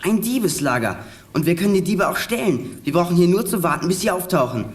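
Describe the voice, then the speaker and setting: A man's voice: sits high, big pitch swings, fast, slightly rough, full character voice. An actor playing a bold teenage boy detective who leads his gang in a German children's radio play, brash and commanding. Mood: excited, urgent.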